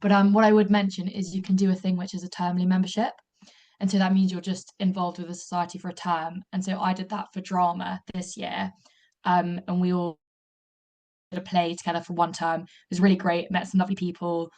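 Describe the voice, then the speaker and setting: A woman's voice: lowish voice, flat delivery, fairly quick, darkish tone. A young woman, a student ambassador, answering on a webinar panel in an upbeat way. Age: young adult.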